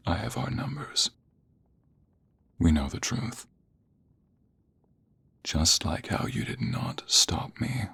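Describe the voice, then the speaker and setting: A man acts out a cocky, flirtatious office rival for an ASMR roleplay. His voice is extremely deep and very husky, often sinking toward a breathy whisper. He takes his time, drawing out each taunt slowly and deliberately. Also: bright, forceful tone, heavily acted. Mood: teasing, seductive. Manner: slow, deliberate, taunting, drawn-out phrases. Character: smug suited office rival, teasing, aggressively flirting. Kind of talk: ASMR romantic roleplay, intimate second-person acting.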